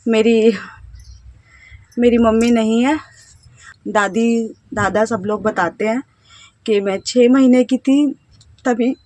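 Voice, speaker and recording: A woman in her thirties from India speaks to her viewers from home in a fairly high voice. She talks in a hesitant, halting way, trailing off and restarting her phrases. The sound is dry and close, with no room echo. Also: fairly even intonation, a little mellow. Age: 30s. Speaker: vlogger, housewife. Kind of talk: casual personal storytelling to camera.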